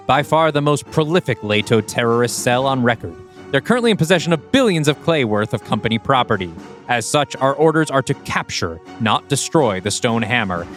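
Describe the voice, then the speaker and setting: A man voicing a stern military commander giving a crisp briefing to troops. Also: mid-range voice, a bit bright. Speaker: podcast co-host. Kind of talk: reading a script aloud with character voices.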